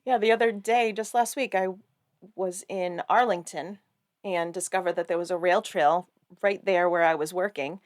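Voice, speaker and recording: A woman's voice: fairly low pitch, steady tone, normal speed. A woman in her fifties with an American accent, chatting casually as a podcast co-host. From Boston area, United States. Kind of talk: casual chat.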